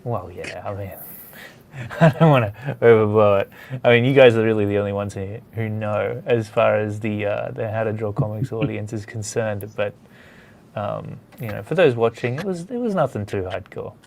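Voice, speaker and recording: A man's voice: lowish voice, normal speed, gravelly, darkish tone. A man hosting a studio session in casual chat, talking in a hesitant, rambling way. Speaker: host of a comics drawing studio session.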